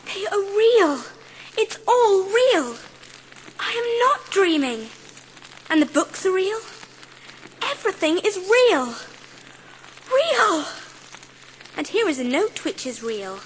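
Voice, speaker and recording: Very high-voiced, wonderstruck little girl. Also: sing-song, slow pace, long pauses, a little hiss or hum, full character voice, low-quality recording. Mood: awed, joyful. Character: excited, dreamy, kind young girl in poverty. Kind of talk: dramatised audiobook acting.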